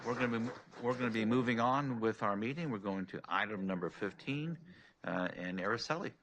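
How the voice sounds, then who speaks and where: A man's voice: fairly low pitch, fairly quick, fairly bright tone. A man chairing a public board meeting in an informal style, giving remarks and moving through items.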